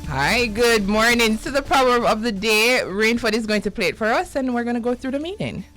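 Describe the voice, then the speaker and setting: A woman's voice: on the high side, expressive, fairly quick, fairly bright tone, faint music behind, rough recording. A woman with a Jamaican accent, co-hosting morning radio in a bubbly way and laughing often. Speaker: radio co-host.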